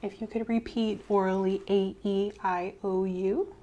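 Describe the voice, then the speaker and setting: A woman doing a soft-spoken ASMR medical role-play as a clinician, gently reassuring and giving step-by-step instructions. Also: mid-range voice, level tone, a little slow, highs cut off. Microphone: clip-on mic.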